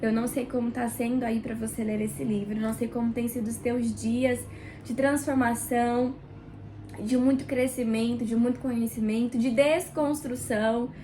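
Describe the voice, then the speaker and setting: Brief: high-voiced Brazilian woman, book club host, nasal from a cold, chatty and direct, small kitchen with a faint hum behind. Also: steady tone. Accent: Brazilian Portuguese. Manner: warm, chatty, addresses viewer directly with pauses. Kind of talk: casual devotional reading vlog.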